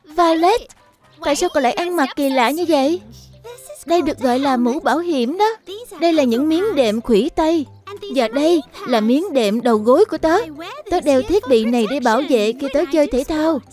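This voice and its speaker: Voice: high voice, expressive, normal speed, darkish tone. An actor voicing a young animal cub in a dubbed children's cartoon, eager and friendly in a childlike way, with music behind. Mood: playful, excited.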